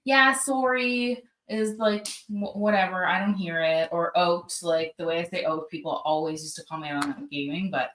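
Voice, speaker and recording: A woman's voice: lowish voice, normal speed, hardly any pauses, a bit bright. East coast canadian lady rambling casually, roomy echo, a bit far from the mic. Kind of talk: casual chat.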